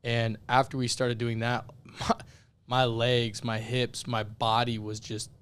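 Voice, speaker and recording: Young guy on a podcast, voice kinda raspy and worn out, pretty flat and even, chatting candidly. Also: medium pitch, a little slow. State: voice worn out from yelling. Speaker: podcast co-host. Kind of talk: casual podcast chat.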